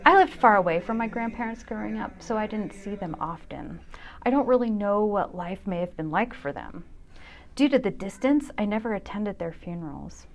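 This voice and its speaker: A woman's voice: mid-range voice, lively intonation, normal speed. A woman in her fifties hosting a solo show, narrating a scripted personal essay in a wry, candid manner. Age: 50s.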